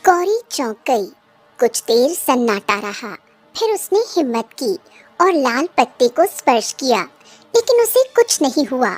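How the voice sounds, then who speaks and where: A woman tells a scripted cartoon fairy tale for children. Her voice sits very high, and clear background music runs beneath the narration. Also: big pitch swings, a little slow. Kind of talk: scripted children's cartoon storytelling, also voicing dialogue.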